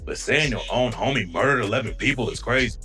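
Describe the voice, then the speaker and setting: A man delivers scripted hip-hop news narration at a middling pitch. His manner is slangy and gossipy, like a storyteller passing on drama, and faint music plays behind him. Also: animated, fast, bright, forceful tone.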